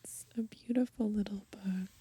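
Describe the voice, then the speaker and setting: A young woman whispering in a hushed, breathy voice for ASMR, unhurriedly reading from a book and adding gently musing asides. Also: mid-range voice, fairly even intonation, normal speed, a little hiss or hum. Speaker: ASMR host. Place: outdoors on grass.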